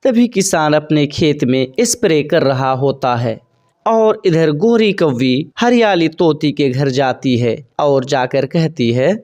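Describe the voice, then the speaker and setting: A woman reading scripted narration for a children's animated bird story. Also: low-pitched, takes it slowly.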